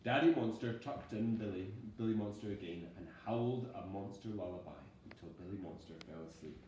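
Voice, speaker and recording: A man reading a storybook aloud like a schoolteacher, in a dramatic, deliberate storytelling manner. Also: on the low side, darkish tone. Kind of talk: storybook narration. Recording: very echoey room, distant mic, faint noise.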